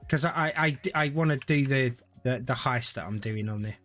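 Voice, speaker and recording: A man's voice: medium pitch, moderate pace, fairly bright tone, soft background music. A man, chatting casually and thinking aloud as he streams a game to viewers. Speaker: gaming streamer. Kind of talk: casual live-stream gameplay commentary.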